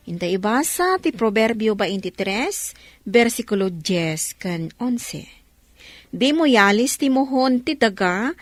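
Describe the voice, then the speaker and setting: Babae nga announcer, nabiag ti timekna. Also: female voice, on the high side. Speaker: radio drama narrator-announcer. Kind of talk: scripted radio announcing.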